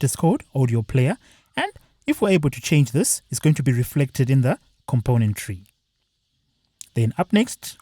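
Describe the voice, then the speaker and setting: Mellow-voiced man explaining software steps. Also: mid-range voice, no echo. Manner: plain step-by-step explaining, with fillers. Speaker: software tutorial presenter. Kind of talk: screen-recorded software walkthrough.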